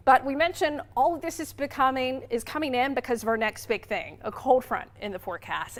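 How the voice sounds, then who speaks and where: A woman's voice: a little high, a bit bright. Upbeat chatty TV weatherwoman. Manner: chatty, upbeat, self-joking. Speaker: TV meteorologist. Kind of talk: TV weather forecast with casual banter.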